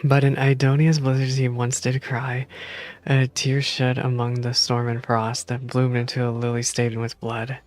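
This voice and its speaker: A man's voice: mid-range voice, monotone, moderate pace, darkish tone, faint music behind. A man streaming a game, reading story text aloud and reacting casually as he goes.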